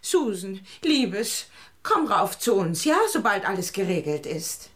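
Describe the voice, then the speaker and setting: An actress playing a sharp-tongued, melodramatic woman friend with biting sarcasm in a German radio drama. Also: mid-range voice, very animated, a little slow, slightly rough, full character voice. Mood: indignant.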